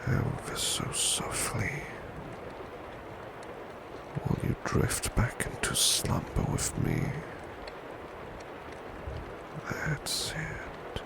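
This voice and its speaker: A man playing a gentle, devoted servant in a whispered ASMR roleplay, soothing a beloved to sleep with tender, softly repeated phrases. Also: lowish voice, huge pitch swings, slow pace, background noise, full character voice. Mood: tender, loving. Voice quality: breathy whisper.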